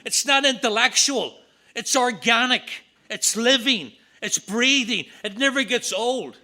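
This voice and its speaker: Bright-voiced Northern Irish man. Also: extremely high pitch. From Northern Ireland. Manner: earnest, repetitive, quick asides. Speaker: AA speaker. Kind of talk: recovery meeting talk. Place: meeting room.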